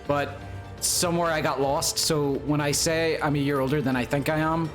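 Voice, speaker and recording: A man's voice: fairly high pitch, fairly even intonation, moderate pace, faint music behind. Rambling, joking man in his twenties. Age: 20s. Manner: rambling, joking, self-deprecating, laughs often. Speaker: livestreamer chatting with viewers. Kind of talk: casual live-stream chat.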